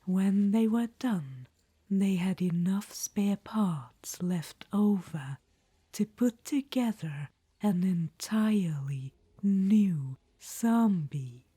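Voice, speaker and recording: A woman's voice: mid-range voice, lively intonation, extremely slow, mellow, breathy edge, no echo, exaggerated voice. A woman voicing an imperious, fond undead witch-queen in an ASMR roleplay, whispering throughout and calling the listener darling. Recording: dry close-mic whisper.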